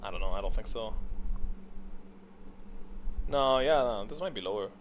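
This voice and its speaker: A man's voice: lowish voice, animated, normal speed. Offhand man over background noise. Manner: offhand, hesitant, second-guessing himself. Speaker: gaming video host. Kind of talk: casual tier-list commentary, thinking aloud.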